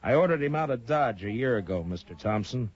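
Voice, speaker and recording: An actor playing a steady frontier marshal in a 1950s radio western, measured, dry and authoritative. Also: male voice, medium pitch, close to an everyday voice. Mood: firm. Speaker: lead character. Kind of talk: 1950s radio drama acting. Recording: muffled old radio broadcast.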